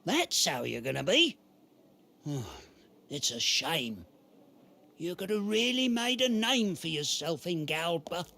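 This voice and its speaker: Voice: medium pitch, very animated, slow pace, gravelly, pauses often, bright, forceful tone, slight background noise, exaggerated voice. An actor playing a blunt, jaded acquaintance, colloquial and chiding, in a game drama. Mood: chiding, resigned.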